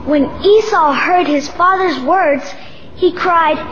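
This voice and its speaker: A woman's voice: extremely high pitch, slow, noisy background. A woman reading scripted narration for a children's Bible story. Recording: dull audio with music behind. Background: music.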